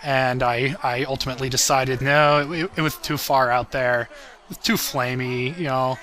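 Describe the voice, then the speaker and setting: Male commentator, mid pitch with a bright edge, casual and self-deprecating. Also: steady tone, moderate pace. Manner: self-deprecating, chuckling, swearing. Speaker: creator commenting on own parody series.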